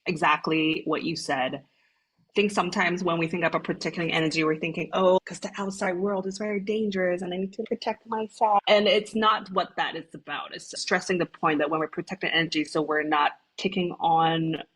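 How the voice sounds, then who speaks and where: A woman from Hong Kong, a retreat founder, calmly explaining her work as a podcast guest. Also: on the low side, brisk, slightly rough, rough recording. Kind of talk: podcast interview.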